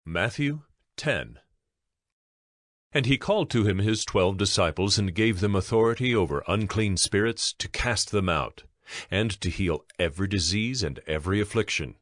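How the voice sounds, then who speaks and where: A man's voice: fairly low pitch, animated, moderate pace, a bit bright, no echo, clean studio sound. A man narrating scripture from a script in a solemn storytelling manner, with music beneath.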